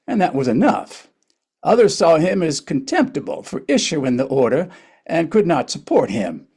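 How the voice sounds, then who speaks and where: A man plays the narrator of a historical play. His voice is slightly high yet raspy, full of creak. He tells the history in a wry, conversational way. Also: darkish tone, exaggerated voice. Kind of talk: storytelling narration at a play table reading.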